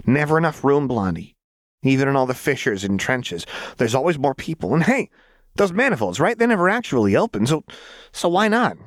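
A man voicing a manic, brash young woman saboteur in an audiobook, with a shrill, slangy, rambling delivery. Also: very animated, a little fast, no room sound, clean studio sound, natural voice. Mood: manic glee.

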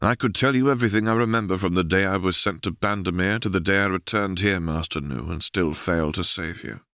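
A man voicing a weary, war-hardened male knight who speaks coldly and clipped, in a low gravelly voice. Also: a little fast, fairly bright tone, no echo, clean studio sound. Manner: clipped, cold.

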